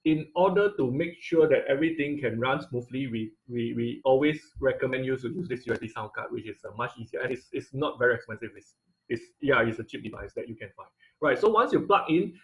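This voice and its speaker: A man's voice: mid-range voice, brisk, low-quality recording. A man teaching an online robotics class over a video call, explaining step by step with many fillers and restarts. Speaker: instructor. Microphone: computer mic on video call.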